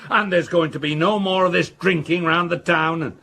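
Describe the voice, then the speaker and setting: Gravelly, blustering older patriarch. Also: male voice, a little high, steady tone, moderate pace, pushed and bright. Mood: indignant scolding. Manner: blustering, scolding. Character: blustering, self-important older male family patriarch. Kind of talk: radio drama acting.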